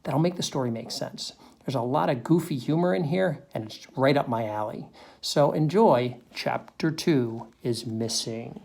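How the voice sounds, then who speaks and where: A man's voice: medium pitch, normal speed, creaky, a little mellow. A middle-aged man, reading aloud to children as a teacher, with playful, jokey casual asides.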